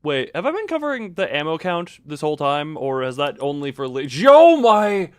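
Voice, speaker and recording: A man's voice: on the high side, big pitch swings, moderate pace, clean tone, no echo. Man streaming game commentary, very dry sound. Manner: rambling, jokey, exclamatory asides. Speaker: live streamer playing a horror game. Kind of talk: casual live gameplay commentary.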